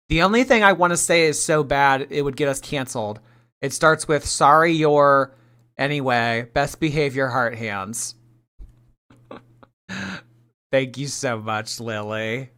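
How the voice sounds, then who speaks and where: A chatty woman in her late twenties hosts a livestream reaction, bright and forward in tone. She teases her viewers and drifts between loud bursts and mumbled asides. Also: a bass voice. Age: late 20s. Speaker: streamer.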